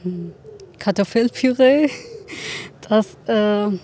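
A middle-aged woman with a foreign accent gives casual interview answers. She speaks slowly, in short halting phrases, with a mid-pitched voice. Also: warm tone. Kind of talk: casual interview in a second language. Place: outdoors on a courtyard path. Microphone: interviewer's handheld microphone.

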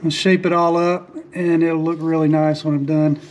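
High-voiced man narrating boat work. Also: moderate pace, slight background noise. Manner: relaxed, plainspoken, frequent "uh" fillers and restarts. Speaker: boat refit vlogger. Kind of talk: casual how-to narration while working. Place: outdoors on a sailboat deck.

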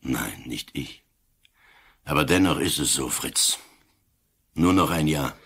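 A man's voice: extremely low pitch, animated, slow, fairly bright tone, husky, full character voice. A man playing an ailing old police inspector, dry, terse and probing, in a radio drama. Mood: stoic, resigned. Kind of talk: German-language radio drama acting.

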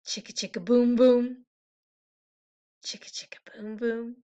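High-voiced woman reading a children's story. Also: clean tone, lo-fi sound. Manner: playful, expressive, sing-song delivery with drawn-out words. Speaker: preschool teacher reading a picture book aloud. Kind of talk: animated children's story read-aloud.

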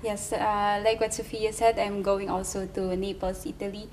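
A woman's voice: medium pitch, level tone, normal speed, darkish tone, a little hiss or hum. A young woman from the Philippines, chatting cheerfully in a student testimonial with fillers. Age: young adult. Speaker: master's student. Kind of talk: testimonial interview.

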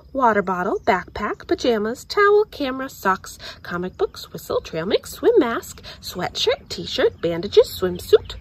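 A woman reading a children's picture book aloud in an expressive, deliberate storytelling manner. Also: fairly high pitch, slightly rough. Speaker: story time reader.

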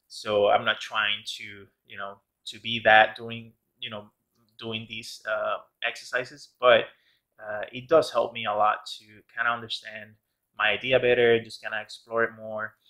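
A man's voice: on the low side, bright, forceful tone, poor audio. A man casually narrating his art process as host of a tutorial channel, rambling with many fillers and restarts. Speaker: background artist and channel host.

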